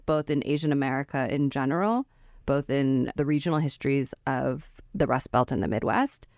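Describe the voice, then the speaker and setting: A woman's voice: sits low, darkish tone, no echo, clean studio sound. A woman from Metro Detroit, a professor, explaining her research in a thoughtful way, with many "I think" and "you know". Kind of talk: academic conversation.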